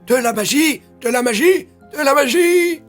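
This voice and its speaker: A man's voice: very high voice, expressive, moderate pace, faint music behind, voice pushed up. A man voicing a crazed, senile old man who repeats himself obsessively, in an audio drama. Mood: manic glee. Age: older. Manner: obsessive, repeating the same phrases. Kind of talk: French audio drama character acting.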